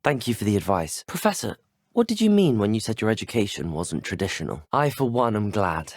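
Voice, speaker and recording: A man's voice: fairly low pitch, big pitch swings, fairly quick, no room sound, clean studio sound. An actor playing an earnest, courteous young male student wizard in a video game, speaking formally with a British accent. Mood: polite, earnest. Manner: formal, well-spoken, courteous. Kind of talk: scripted video game voice lines.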